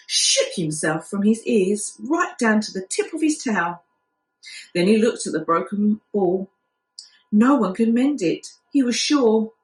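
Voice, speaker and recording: A woman reading a children's story aloud like a primary school teacher, acting out the telling expressively. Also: on the high side. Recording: echoey room.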